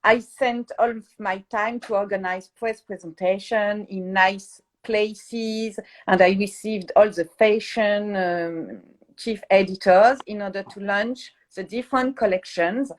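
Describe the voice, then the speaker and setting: French-accented woman, halting speech. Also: mid-range voice. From France. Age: middle-aged. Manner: halting, searching for English words. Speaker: interviewed guest, fashion PR agent. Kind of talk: live online interview, explanatory talk.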